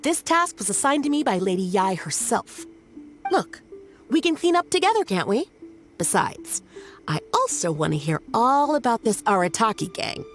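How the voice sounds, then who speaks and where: Casting: earnest, dutiful young shrine maiden in a game, very high voice, animated delivery, music behind. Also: female voice, normal speed. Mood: insistent. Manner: earnest, questioning, expressive. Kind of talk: English video game voice acting.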